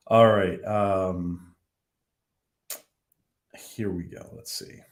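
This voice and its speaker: Slow, low-voiced man hosting a livestream. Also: fairly even intonation. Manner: halting, many ums and restarts. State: losing his voice. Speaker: livestream host. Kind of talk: casual livestream chat.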